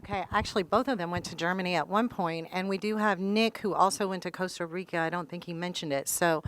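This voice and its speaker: Brisk woman moderating. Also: fairly low pitch, fairly even intonation, slight background noise. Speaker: panel moderator. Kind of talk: moderating a panel.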